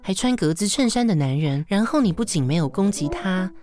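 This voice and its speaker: An actress playing a sarcastic, long-suffering assistant in a radio drama, with a Taiwanese Mandarin accent and a teasing, weary manner. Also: female voice, medium pitch, animated, fairly quick, over music, close to an everyday voice. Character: sardonic, long-suffering female assistant to a fashion director. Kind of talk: radio drama acting with inner monologue.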